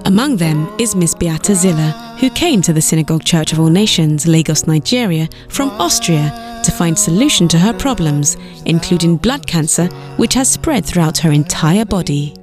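Female program narrator, formal measured read, lower pitch, over loud music. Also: expressive. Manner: measured, formal announcer delivery. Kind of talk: scripted narration.

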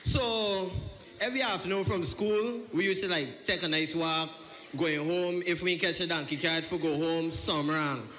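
A man performs a spoken-word piece that sounds like a relaxed street story. His voice sits fairly high for a man. He keeps an easy, conversational flow. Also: moderate pace, slight background noise. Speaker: performance poet.

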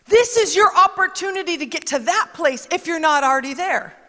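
Very high-voiced woman preaching emphatically. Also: lively intonation, a little fast, bright, forceful tone. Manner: emphatic, chatty preaching with quoted asides. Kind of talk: conversational sermon. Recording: long reverb of a large hall.

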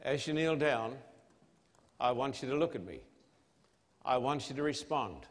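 A man preaching an evangelistic sermon in a solemn, emphatic manner, with dramatic pauses and rhetorical questions. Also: medium pitch, lively intonation. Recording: long hall reverb.

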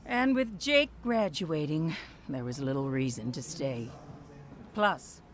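An actress playing a bitter, stubborn wife in a radio drama, with a sarcastic and defiant manner. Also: female voice, deep voice, animated, slow pace. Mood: rueful. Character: bitter, combative, stubborn wife and later divorcee. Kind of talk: radio drama acting with reflective narration.

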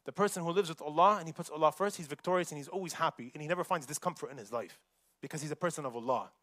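A young man giving a Friday sermon in a mosque, preaching in an animated way and mixing recited verses with casual asides. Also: a little high, very fast, no echo. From United States.

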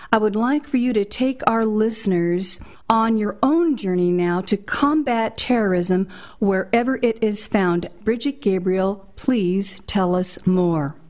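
A woman's voice: medium pitch, a little mellow. A woman hosting an interview show, giving a scripted introduction in a dramatic, emphatic way. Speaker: host.

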